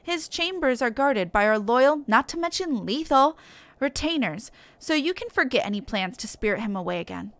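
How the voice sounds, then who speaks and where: A woman's voice: high-pitched, animated, normal speed, fairly bright tone, no echo. A woman voicing a haughty, officious company clerk with a formal, scolding manner.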